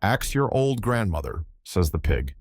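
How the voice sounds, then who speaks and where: A man's voice: low-pitched, sing-song, unhurried, full character voice. A man voicing a garrulous old Irish sailor spinning folksy, colloquial yarns in an audiobook story. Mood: lively storytelling. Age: older. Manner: rambling, colloquial yarn-spinning.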